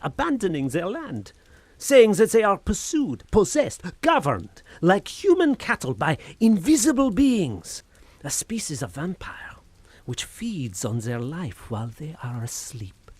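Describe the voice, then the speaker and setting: Horror radio play lead: tormented man, husky, highly animated, theatrically intense and obsessive. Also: no room sound, exaggerated voice. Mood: obsessive, uneasy. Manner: intense, theatrical, halting then feverish. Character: tormented, obsessive Frenchman haunted by an invisible being. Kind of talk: audio horror drama acting.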